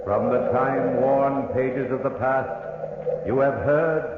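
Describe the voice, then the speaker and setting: Echoing, very slow actor as eerie horror announcer. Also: male voice, medium pitch, fairly even intonation, lightly acted. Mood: solemn, eerie. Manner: slow, portentous. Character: sepulchral, solemn male horror show announcer. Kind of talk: old-time radio horror announcing. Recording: echoing, very noisy, tinny vintage radio.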